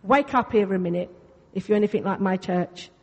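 A woman's voice: medium pitch, fairly quick, darkish tone. A woman whose first language is Greek, preaching a sermon in a church in a chatty way, repeating phrases for emphasis. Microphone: venue sound system. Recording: reverberant church hall.

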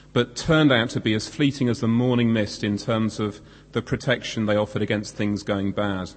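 Croaky-voiced man preaching in a hall. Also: mid-range voice. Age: 40s. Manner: self-deprecating, conversational. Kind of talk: sermon. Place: church hall. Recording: long hall reverb.

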